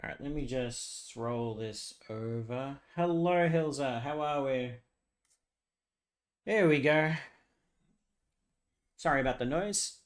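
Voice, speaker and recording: A young man with a hoarse, worn voice, chatting loosely to his live audience. He rambles between thoughts, laughs a lot and drops swear words without a second thought. His pitch sits squarely in the middle for a man. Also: slow, long pauses. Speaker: live streamer. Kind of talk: casual live-stream chat with viewers.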